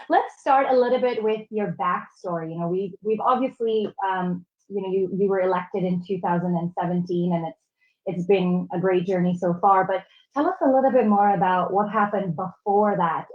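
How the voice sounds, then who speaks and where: A young adult woman hosting a web interview show, upbeat and enthusiastic as she brings in her guest. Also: mid-range voice. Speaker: host. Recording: echoey, dull video call audio.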